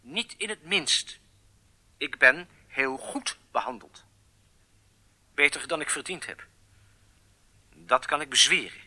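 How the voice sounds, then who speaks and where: A bright, tense captured agent. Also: male voice, fairly high pitch, expressive, slow, pauses often, heavily acted. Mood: submissive, careful. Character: captured German agent giving a contrite interview. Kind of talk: radio drama acting.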